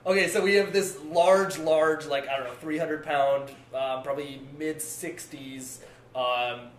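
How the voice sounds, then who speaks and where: Young man, podcast co-host, rambling laughing stories, slightly high voice, echoey room. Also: fairly even intonation, unhurried, slight background noise. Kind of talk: casual podcast chat.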